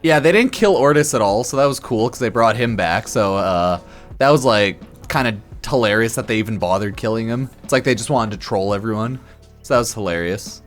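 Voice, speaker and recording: A slightly drunk man giving casual, sarcastic game commentary on a stream, blunt and quick to swear. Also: lowish voice, animated, soft background music. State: a bit drunk.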